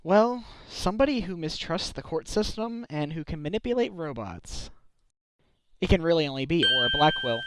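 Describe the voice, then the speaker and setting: A man voicing an earnest young male defense lawyer in a game fan dub, with a lively delivery. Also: high voice, normal speed, heavily acted, rough recording. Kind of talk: amateur game fandub acting.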